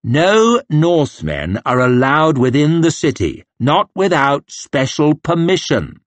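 A man's voice: a little high, big pitch swings, moderate pace, breathy edge, no room sound, pitched above the performer's own voice. A man doing a sneering arrogant young officer. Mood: mocking. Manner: haughty, sneering, snapping.

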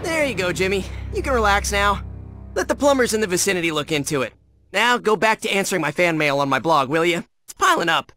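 Cocky, animated teenage boy hero. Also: sits high, fast talker, heavily acted. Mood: dismissive. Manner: flippant, sarcastic slang. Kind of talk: animated video game acting. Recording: music under the voice.

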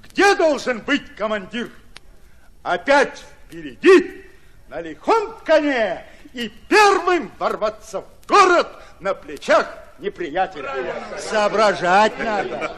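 An actor playing a brash, hot-tempered Red Army commander in a radio play, lecturing in rustic, emphatic colloquial speech. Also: male voice, high voice, very animated, takes it slowly, a bit bright, heavily acted. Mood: emphatic, instructive.

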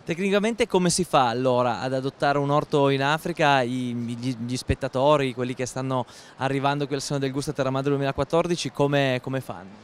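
A young man works as an interviewer at a busy indoor fair. His voice sits at a middle pitch, picked up by a handheld microphone with clear noise behind. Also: fairly even intonation, fairly quick. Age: young adult. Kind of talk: on-location interview questions.

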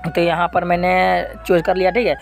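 A man's voice: high-pitched, little pitch movement, brisk, soft background music. A man hosting an unscripted app tutorial, repeating himself and often adding check-in tags. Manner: repetitive, with frequent check-in tags. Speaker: app tutorial video host. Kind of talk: unscripted screen-recording tutorial.

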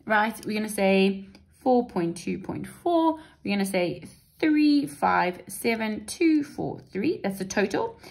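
A woman's voice: medium pitch, sing-song, slow pace, gravelly, darkish tone. A woman teacher talking through an exam paper at a desk, chatty and reassuring, often checking in with "right?" and "okay?". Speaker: maths teacher. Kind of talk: exam paper walkthrough lesson. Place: indoors at a wooden desk.

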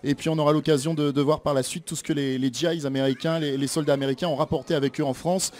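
A man's voice: fairly high pitch, fairly even intonation, fast talker, darkish tone. A man presenting a radio chronicle in a chatty, playful way. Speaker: radio columnist.

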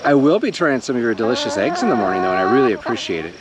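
A man in his forties talking casually to a handheld camera on a farm, relaxed and chatty. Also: mid-range voice, racing, heavy background noise. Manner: relaxed, chatty, self-correcting. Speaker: travel vlogger. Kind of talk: casual vlog talk to camera. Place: outdoors on a farm and inside a trailer. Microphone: handheld action camera at arm's length.

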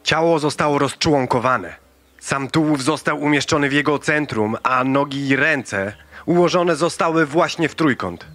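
An actor playing a deferential crime scene investigator in an audio drama, reporting in a factual, measured way. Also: male voice, on the high side, a bit bright, natural voice. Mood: clinical.

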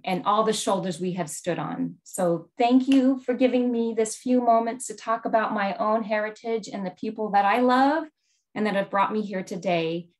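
A woman college president sharing heartfelt, reflective remarks slowly over a video call. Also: mid-range voice, a little mellow. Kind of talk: personal heritage remarks.

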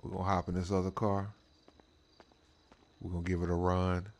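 A man's voice: low voice, flat delivery, moderate pace. A man giving laid-back, slangy running commentary on a game, talking mostly to himself. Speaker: streamer playing a drag racing game. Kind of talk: casual running gameplay commentary. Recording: loud car engine noise. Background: game car and engine sounds.

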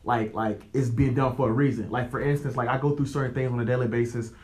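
A young American man hosts a podcast alone in a mid-pitched voice. He talks loosely and conversationally in slang, giving motivational advice and often checking that the listener follows. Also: steady tone, fast talker, darkish tone. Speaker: podcast host. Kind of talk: casual motivational monologue.

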